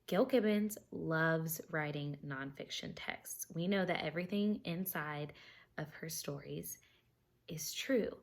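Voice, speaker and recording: A woman's voice: deep voice, takes it slowly, vocal fry. A woman teacher reading a children's picture book aloud expressively, explaining things simply and putting questions to her young listeners.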